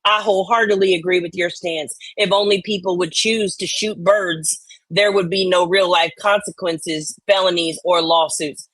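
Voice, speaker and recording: A woman's voice: on the low side, fairly even intonation, normal speed, fairly bright tone, husky, no echo, low-quality recording. A woman co-host doing irreverent, sweary dramatic readings of online comments mixed with banter.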